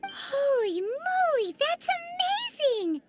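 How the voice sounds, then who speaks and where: A woman voicing a tiny, chirpy, childlike fairy companion with a squeaky voice in a video game. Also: sing-song, takes it slowly, very noisy, full character voice. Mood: amazed.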